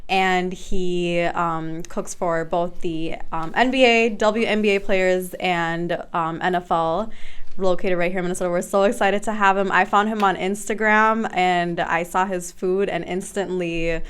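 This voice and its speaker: An upbeat woman hosts a relaxed podcast interview, cheering her guest on with quick affirmations. Her voice sits a little low and sounds smooth and clear. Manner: upbeat, encouraging, frequent affirmations. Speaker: podcast host. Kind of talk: casual interview.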